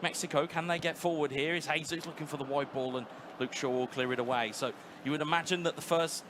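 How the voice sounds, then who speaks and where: A man calling live esports football play by play, rapid and rising to shouts at big moments. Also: fairly high pitch, fairly even intonation, noisy background. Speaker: play-by-play commentator.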